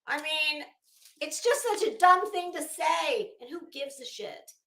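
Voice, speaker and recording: Bright-voiced, sarcastic woman in an echoey room. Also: high voice, lively intonation, measured pace. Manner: sarcastic, blunt, swearing, dramatic emphasis. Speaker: commentary host, live streamer. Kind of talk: reading a document aloud with mocking off-the-cuff commentary. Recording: echoey, roomy sound.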